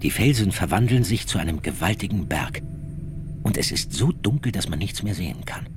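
A man narrates a literary radio drama in a very deep voice. His scripted delivery is highly animated in pitch, set against clearly audible background noise. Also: fairly quick.

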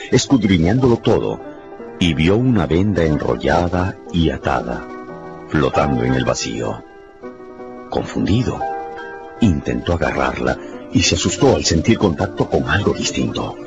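A man's voice: very deep voice, gravelly. A man narrating a radio drama in a dramatic, scripted storytelling style. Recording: slightly dull sound, music behind.

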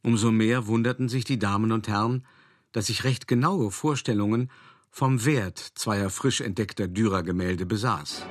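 Actor as a low-voiced, urbane male art dealer. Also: lightly acted. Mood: smug, satisfied. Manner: measured, deliberate, articulate storytelling with pauses. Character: urbane, self-satisfied male art dealer recounting his schemes. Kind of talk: radio play monologue, narrated confession.

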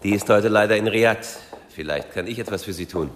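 A male actor plays a young official in a radio play, slick, formal and reassuring while giving little away. His voice is low, with slight noise behind it. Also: brisk, natural voice. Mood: smoothly courteous. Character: slick, courteous young Saudi male official, smoothly evasive. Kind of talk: German radio drama dialogue.

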